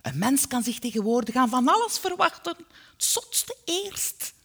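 Woman acting out a Flemish mother, high-pitched, loud, bossy, biting, storybook character. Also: big pitch swings, moderate pace, husky, exaggerated voice. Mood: hushed warning. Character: domineering working-class Flemish mother, shrill, commanding and scheming.

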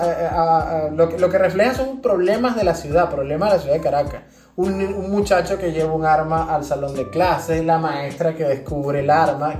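Mellow low-voiced Venezuelan woman. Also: echoey room. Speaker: podcast co-host. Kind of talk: casual podcast chat.

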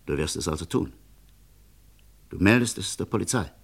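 Gravelly, insistent male friend. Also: low-pitched, animated, normal speed, exaggerated voice. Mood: firm. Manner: urgent, admonishing, commanding. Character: insistent, impatient male friend urging caution. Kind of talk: German radio crime drama acting.

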